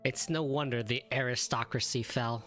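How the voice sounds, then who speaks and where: A woman's voice: a bass voice, fairly even intonation, moderate pace, fairly bright tone, faint music behind. A woman giving casual live commentary on a game playthrough, with informal, wry asides. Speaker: gamer giving live reactions.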